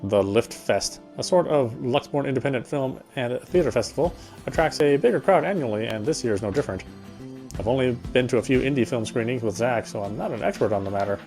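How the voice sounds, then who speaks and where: A man's voice: mid-range voice, lively intonation, brisk, a little mellow, soft background music. A man reading visual novel narration aloud expressively, with casual asides.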